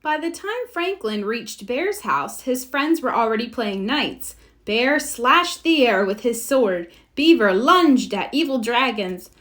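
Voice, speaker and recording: A woman narrating a children's storybook aloud in an expressive read-aloud style. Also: on the high side, takes it slowly, hardly any pauses. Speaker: storybook reader.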